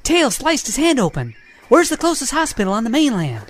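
Woman as breezy, animated young hedgehog hero. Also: high-pitched, fairly quick, slight background noise. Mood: concerned. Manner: breezy, slangy. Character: cocky, carefree young male hedgehog hero, protective. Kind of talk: amateur radio drama acting.

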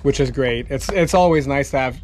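Canadian guy in his 30s vlogging outside, regular pitch, chill n sweary, sailor chat. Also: fairly quick, darkish tone, slight background noise. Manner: laid-back, sweary, slangy. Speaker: sailing vlogger. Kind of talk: casual chat to camera. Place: outdoors in a boat yard among hauled-out boats.

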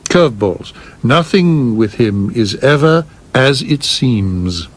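An actor in a radio play as a cautious, scholarly theater producer, measured and formal, with a dry wit. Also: male voice, mid-range voice, lively intonation. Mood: wry, reflective. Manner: measured, literal, dryly witty, formal wording.

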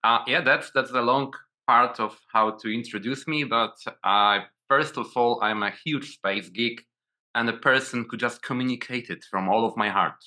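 A man's voice: medium pitch, normal speed, bright, forceful tone. A Polish man in his forties with a Polish accent, a guest on a video call interview, talking with many restarts and repeated words. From Poland. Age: 40s.

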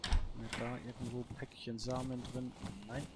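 A man's voice: mid-range voice, monotone, normal speed, heavy background noise. A man giving casual, improvised gameplay commentary, thinking aloud in short muttered remarks. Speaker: gaming let's player.

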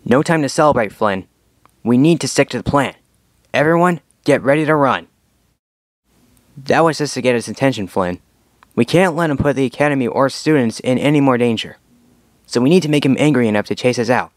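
A man's voice: medium pitch, expressive, a little fast, long pauses, no room sound, close to an everyday voice. Guy doing a heroic young dragon leader for a cartoon, determined and bossy in a good way, dry recording no room sound. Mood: urgent, commanding. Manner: earnest, commanding, rallying. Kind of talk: scripted cartoon fandub audition lines.

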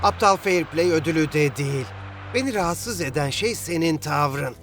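A man's voice: a little high, expressive, moderate pace, music in the background, natural voice. Man acting a proud, grumbling young footballer. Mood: resentful. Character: proud, resentful young male football player. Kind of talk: Turkish-dubbed children's cartoon acting.

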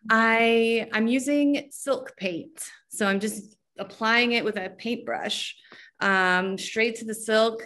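A young woman designer on a video call from home, talking slowly and searching for words with frequent restarts. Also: on the high side, a bit bright. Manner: slow, searching, with many restarts. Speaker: fashion designer. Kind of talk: relaxed video call talk. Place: home room with painted backdrop.

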